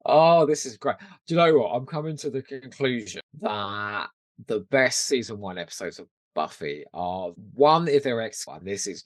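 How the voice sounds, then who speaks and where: A man's voice: on the low side, no room sound. A British man co-hosting a podcast, chatting casually about pop culture with giggly, gushing enthusiasm. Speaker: podcast co-host.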